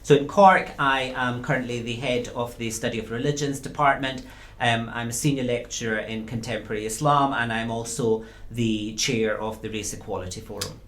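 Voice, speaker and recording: A man, a university lecturer as interview guest, speaking in a thoughtful, measured way with pauses. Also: medium pitch, fairly even intonation, a bit bright, a little hiss or hum. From Scotland. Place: small studio.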